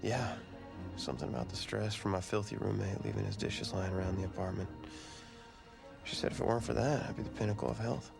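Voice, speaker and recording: An ailing young football player with an extremely creaky, gravelly voice. He jokes drily and casually in a video game drama, with music clearly behind him. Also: fairly low pitch, steady tone, a little fast. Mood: deadpan teasing. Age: young adult. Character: ailing, joking young male football teammate.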